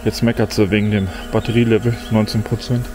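A man's voice: lowish voice, little pitch movement, fast talker. Man muttering through a drone test outdoors. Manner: muttering, self-talking, mildly disappointed remarks. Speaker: drone vlogger. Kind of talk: casual running commentary while testing a drone. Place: outdoors in a forest. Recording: outdoor recording with audible insect noise. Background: insects buzzing and faint outdoor ambience.

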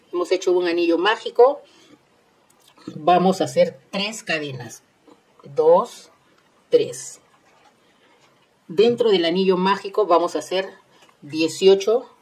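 A woman's voice: fairly low pitch, a little mellow, husky, rough recording. A woman hosting an informal step-by-step craft tutorial, instructing with repeated phrases and tag questions. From Peru. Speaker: host of a crochet tutorial channel.

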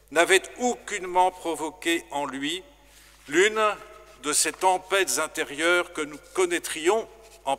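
A middle-aged man preaching a formal sermon as a priest, slow and measured, into a stand microphone in a large stone church. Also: a little high, bright, forceful tone. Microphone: stand microphone in front. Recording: long church reverb.

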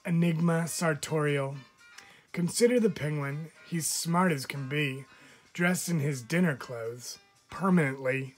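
Man, teacher reading a picture book, unhurried pace, expressive storytelling, music behind. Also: fairly high pitch. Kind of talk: read-aloud of children's animal poems.

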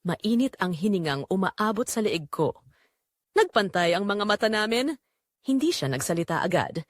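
A woman narrates a scripted drama in the first person. Her mid-pitched voice moves through wide, expressive intonation. She phrases in a measured, dramatic way with short pauses, and the recording is dry with no room sound. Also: dry recording.

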